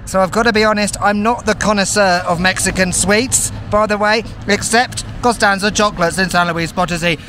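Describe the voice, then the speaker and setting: A man in his thirties, a travel vlogger talking to a handheld camera outdoors on town streets, chatty and excitable with playful asides. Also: sits high, fairly quick, few pauses, slight background noise. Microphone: handheld camera at arm's length.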